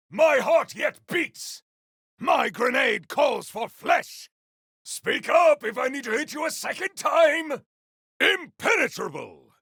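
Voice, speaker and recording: Zealous video game champion: high-pitched for a man, raspy, bombastic and theatrical, swooping boldly through boastful combat barks. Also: bright, forceful tone, no echo, studio quality, full character voice. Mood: wrathful, exultant. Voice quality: gravelly, raspy. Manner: bombastic, archaic, theatrical declamation. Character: zealous, boastful male warrior champion, grandiose and battle-hungry.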